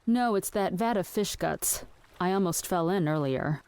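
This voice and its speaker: An actress playing a blunt, practical friend in an audio drama, with a dry, matter-of-fact delivery. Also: female voice, on the low side, measured pace, a little mellow, clear, resonant tone. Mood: dry, teasing.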